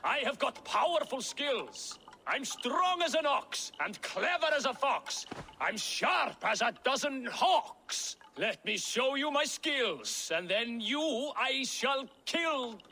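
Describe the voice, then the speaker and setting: An actor playing a boastful, dim-witted fighter in a game, pompous, theatrical and comically self-important. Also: male voice, very high voice, animated, slow, bright, forceful tone, heavily acted. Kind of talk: comic game cutscene acting. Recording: faint noise behind.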